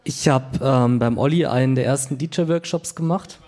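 A man, hoarse from a cold, answering questions as a guest DJ in a casual chat. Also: mid-range voice, moderate pace, dark tone.

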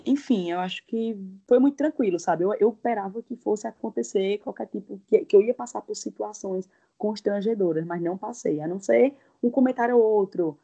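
Mellow woman with a thick Pernambuco accent. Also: mid-range voice, a little fast, creaky, few pauses, dull audio. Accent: Pernambuco Brazilian Portuguese. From Pernambuco, Brazil. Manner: relaxed storytelling with quoted dialogue. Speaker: guest. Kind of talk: casual interview chat.

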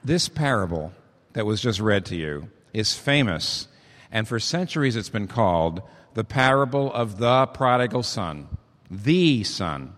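A hoarse man preaching a sermon as pastor, talking conversationally with emphatic build-ups. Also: lowish voice, a bit bright. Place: church. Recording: long reverb of a large hall.

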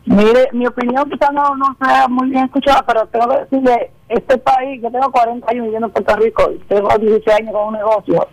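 A woman's voice: fairly high pitch, steady tone, fast talker, few pauses, poor audio. A middle-aged woman phoning a radio show, speaking spontaneously to make a complaint.

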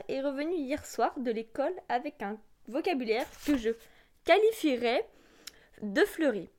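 A young female amateur actor playing a prim, indignant mother in a theatrical reading of a complaint letter. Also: high voice. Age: teenager or young adult student.

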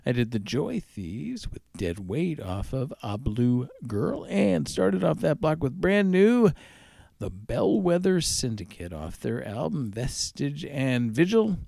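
A male radio DJ talks through the tracks he has played on his music show. His voice is mellow with some gravelly fry and a lively, moving pitch. He goes haltingly, stretching out titles, in a close, dry sound. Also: no echo.

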